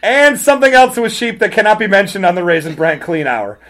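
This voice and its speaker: A man's voice: high-pitched, very animated, a little fast, fairly bright tone. A man hosting a podcast in casual chat, ranting with hyperbole, sarcasm and mock outrage. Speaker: podcast host.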